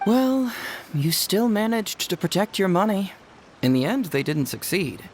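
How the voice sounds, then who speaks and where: A woman's voice: mid-range voice, lively intonation, measured pace, a little mellow, slight background noise, natural voice. A woman voicing a cocky, clever young male detective in a game, glib and playful. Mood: reassuring. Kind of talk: game cutscene voice acting.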